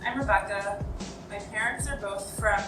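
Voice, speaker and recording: A woman's voice: mid-range voice, moderate pace. A young woman giving frank, matter-of-fact interview answers. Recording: roomy, distant, music bed.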